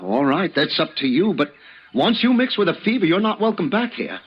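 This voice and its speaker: A folksy, threatening frontier townsman with a fairly high voice, firm and wary. It is old radio western acting on a muffled recording. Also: sing-song, a little fast, slightly rough, heavily acted.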